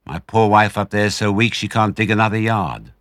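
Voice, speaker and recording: A man's voice: on the low side, a little fast, a bit bright, no echo, clean studio sound, pitched above the performer's own voice, lightly acted. A man voicing an agitated, despairing father badger in a storybook, exclaiming in old-fashioned phrases.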